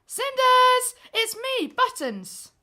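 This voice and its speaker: Eager young servant pal acted by a woman, high pitch, bright tone, friendly, confiding, chirpy pantomime style. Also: very animated, very slow, heavily acted. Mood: excited, hopeful. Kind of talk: stage pantomime acting.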